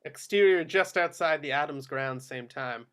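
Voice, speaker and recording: Male stage-directions narrator, fairly high voice, scripted screenplay read. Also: normal speed, a bit bright, clean tone.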